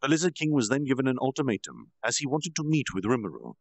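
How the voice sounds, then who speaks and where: Low gravelly guy doing a lively story recap, goes up and down a lot, dry sound. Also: fast talker, no room sound. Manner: lively, dramatic recap with asides and self-corrections. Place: virtual reality social space.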